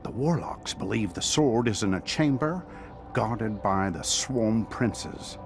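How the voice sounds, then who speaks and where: A man playing a solemn lore keeper in a video game, telling a dark legend in a grave, measured way. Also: on the low side, expressive. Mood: ominous. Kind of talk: dramatic game narration. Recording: music behind the voice. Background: game music.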